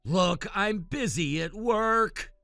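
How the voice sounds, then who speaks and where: A man's voice: high-pitched, animated, takes it slowly, vocal fry, sharp tone, dry recording, clean studio sound, faint music behind, full character voice. A man playing an adult son who answers his sister in a curt, dismissive way.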